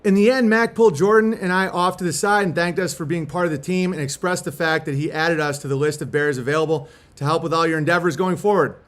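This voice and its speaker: A man with a distinctly high-pitched voice hosts a casual livestream. He moves between reading aloud and chatting in a laid-back, slangy way, with loose swearing. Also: fast talker, few pauses, fairly bright tone. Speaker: host reading a fan letter aloud.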